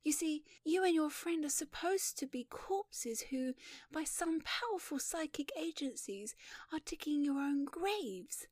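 A woman voicing a shy, polite young woman in a story, explaining things in an earnest, eager, breathy way. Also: sits high, lively intonation, a little slow, a little mellow, dry recording, pitched above the performer's own voice.

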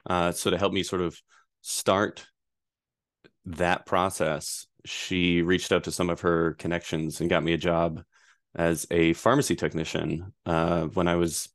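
A man in his thirties from Rhode Island, a guest answering interview questions in a relaxed, rambling way with frequent fillers. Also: sits low, measured pace, no echo, clean studio sound. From Rhode Island, USA. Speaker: guest, privacy attorney.

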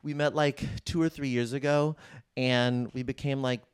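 A man's voice: medium pitch, normal speed, clean tone. A young man from Arizona, a comedian guest telling stories in a casual podcast chat, full of fillers and restarts. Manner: animated storytelling, many fillers and restarts.

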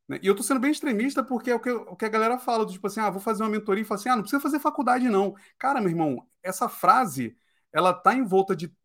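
Brazilian male podcast host, emphatic and opinionated, voice sits a bit high. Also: fairly bright tone. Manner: opinionated, emphatic, keeps asking "né?". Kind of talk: casual podcast discussion.

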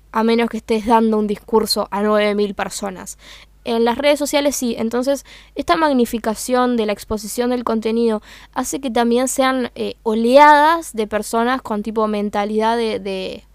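A woman's voice: a little high, darkish tone, smooth, no echo. A young woman with a Uruguayan accent, talking through her thoughts in a casual, hesitant monologue full of fillers. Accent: Uruguayan Spanish. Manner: hesitant, searching for words with many fillers. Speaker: meme page creator.

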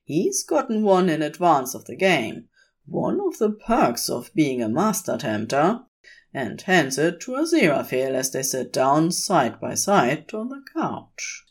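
A woman's voice: low voice, very animated, measured pace, slightly rough. A woman reading scripted fiction as a narrator.

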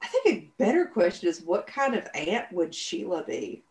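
A woman co-hosting a podcast who jokes around in relaxed, casual chat. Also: moderate pace. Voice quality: deep voice. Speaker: podcast co-host.

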